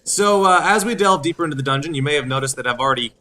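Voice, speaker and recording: A man in his thirties hosting a game walkthrough, explaining things in a halting way. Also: fairly high pitch, lively intonation, pushed and bright. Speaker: video series host. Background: game music.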